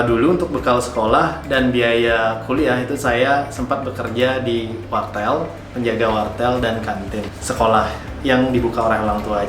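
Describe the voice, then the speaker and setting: A man from Bali, giving earnest, measured explanations as a founder being interviewed. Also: mid-range voice. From Bali, Indonesia. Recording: roomy echo with music behind.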